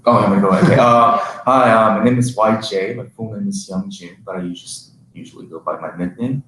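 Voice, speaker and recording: A young man from South Korea, a student panelist chatting casually with frequent fillers. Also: lowish voice. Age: young adult. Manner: relaxed, halting with fillers. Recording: roomy with faint hiss.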